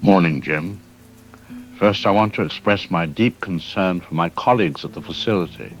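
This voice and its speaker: Careful scientist, radio drama interview: very deep voice, formal, guarded, deliberate. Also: male voice, highs cut off, faint music behind. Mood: grave, guarded. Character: grave, careful male research scientist. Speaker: expert interviewee. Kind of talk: scripted expert news interview.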